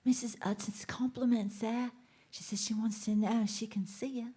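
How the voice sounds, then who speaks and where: A husky young servant boy of radio drama, acted by a woman. He is earnest and deferential, speaking politely in a plain, unschooled way. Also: fairly high pitch, steady tone, normal speed, mellow.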